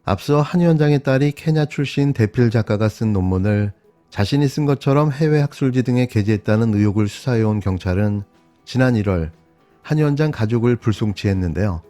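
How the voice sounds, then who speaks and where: A man with a mellow, relaxed, dark voice reads a scripted news commentary at a steady pace, his tone sharply opinionated. Faint music plays beneath him. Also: mid-range voice, a little fast.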